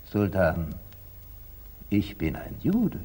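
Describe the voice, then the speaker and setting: A wise, wary merchant in a 1940s radio play. The voice is lowish and husky, and he weighs each phrase slowly and thoughtfully with expressive rises and falls. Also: full character voice. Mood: respectful, careful. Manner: measured, pondering, guarded. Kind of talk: 1940s German radio stage acting.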